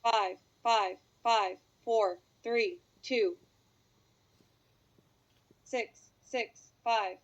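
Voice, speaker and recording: A woman's synthetic-sounding announcer voice reads single digits one at a time in a flat, detached way, as on a numbers station. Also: on the high side, extremely slow, pauses often, highs cut off.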